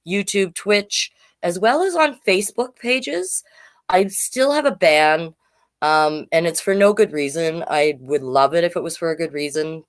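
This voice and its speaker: Woman w a slightly low voice doing a kids storytime stream, chatty, reads facts, gets sidetracked a lot. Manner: chatty, easily sidetracked, self-correcting. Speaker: host of a children's storytime livestream. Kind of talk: casual livestream chat, reading out facts.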